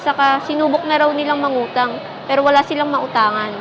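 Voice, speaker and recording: A woman's voice: sits high, a little fast, natural voice. A woman playing a worried, conciliatory young Filipino wife in a radio drama, speaking in a tentative, pleading way. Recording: dull lo-fi sound, clear background noise.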